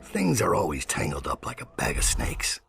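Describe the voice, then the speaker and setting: Gravelly, brooding master assassin. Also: male voice, low voice, huge pitch swings, normal speed, full character voice. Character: grim, brooding master assassin telling his own story. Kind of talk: scripted noir-like narration in a video game. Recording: loud music under the voice.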